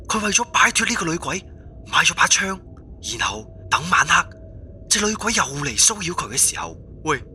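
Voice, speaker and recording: Man as an excitable teasing tall-tale teller, Cantonese accent, raised pitch, gossipy, animated, audio drama. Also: slight background noise, exaggerated voice. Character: excitable forum joker telling a lewd ghost tale.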